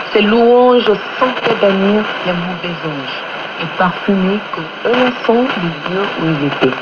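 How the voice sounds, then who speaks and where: Female radio presenter for devotional narration, measured and reverent, low-pitched, buried in loud shortwave noise. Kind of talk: scripted religious narration read aloud. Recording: noisy, muffled shortwave radio broadcast.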